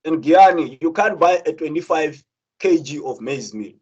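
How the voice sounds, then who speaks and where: A man's voice: on the high side, expressive, normal speed, a little mellow, husky, highs cut off. A young South African man speaking earnestly, with many repeated words and restarts, as a student representative. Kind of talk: impassioned spoken talk.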